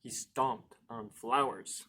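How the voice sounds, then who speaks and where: A man reading a children's picture book aloud as a storytime host, playful and expressive, with emphatic words and chatty asides to young listeners. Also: mid-range voice, slow, a little hiss or hum. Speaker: library storytime reader and host.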